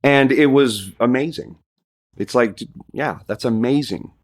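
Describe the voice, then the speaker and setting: A man as a podcast guest, chatting casually in a halting, self-effacing way. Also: mid-range voice.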